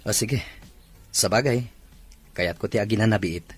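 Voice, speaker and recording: Husky, low-pitched, easygoing, a man doing a radio-drama visitor, short replies. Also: moderate pace.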